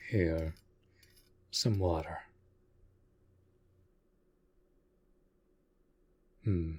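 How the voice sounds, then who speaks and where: Man voicing a low, unhurried courtly vampire master. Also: breathy edge, exaggerated voice. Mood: concerned. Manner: measured, formal, deliberate phrasing with pauses. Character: courtly, protective male vampire master of a manor. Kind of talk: roleplay audio drama, addressing the listener.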